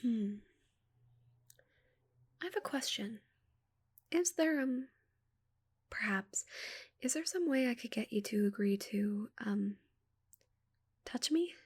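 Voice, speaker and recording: Woman doing a shy giant alien lady for asmr, soft n breathy, bit creaky, awkward and stammery. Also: a little high, expressive, slow, long pauses, full character voice. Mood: delighted, curious. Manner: hesitant, stammering, flustered. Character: shy, curious giant female alien, touch-starved and awkward. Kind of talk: ASMR roleplay.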